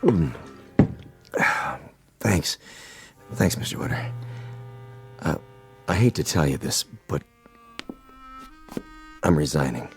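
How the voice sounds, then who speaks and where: An actor in a radio drama playing a loyal working-class newspaper typesetter with a folksy, plain-spoken manner. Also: male voice, very deep voice, animated, slow, pauses often, faint music behind, exaggerated voice. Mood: nervous, hesitant.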